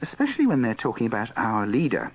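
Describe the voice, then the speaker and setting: A man's voice: medium pitch, wildly swooping pitch, fast talker, slightly rough. A man presenting a scripted compilation with wry, dryly teasing asides and rhetorical questions. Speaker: presenter of a radio bloopers compilation. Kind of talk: scripted wry linking narration.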